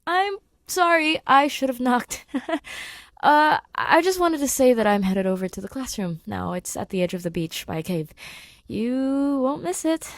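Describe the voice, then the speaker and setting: A woman voicing an awkward, fond, self-deprecating man in an audiobook, halting and conversational. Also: high voice, expressive, no echo, studio quality, voice pushed up. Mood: nervous. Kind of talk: audiobook fan fiction dialogue.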